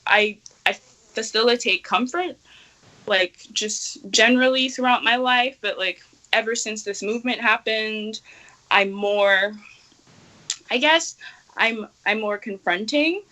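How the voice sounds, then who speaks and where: Young woman from nigeria, bright voice, earnest and thoughtful, lots of ums and restarts. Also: a little high, takes it slowly, poor audio. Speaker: activist guest. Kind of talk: interview answers.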